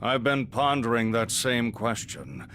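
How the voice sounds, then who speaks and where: An actor playing a composed, analytical doctor in an anime game, with formal and precise phrasing. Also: male voice, medium pitch, big pitch swings, measured pace, bright, forceful tone, a little hiss or hum.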